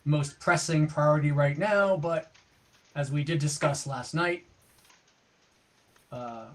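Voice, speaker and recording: Practical tabletop-game town leader, male, played by a man, careful and hesitant, voice a touch high. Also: slow, a bit bright. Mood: measured, cautious.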